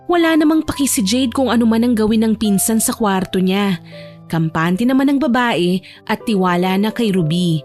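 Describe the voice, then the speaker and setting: A woman's voice: fairly high pitch, a little mellow. A woman narrator delivering dramatic scripted storytelling for an audio drama.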